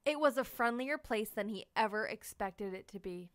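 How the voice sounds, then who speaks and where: A woman reading a children's storybook aloud as host, in expressive scripted narration with dramatic, drawn-out emphasis on key words. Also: medium pitch, dry recording, studio quality.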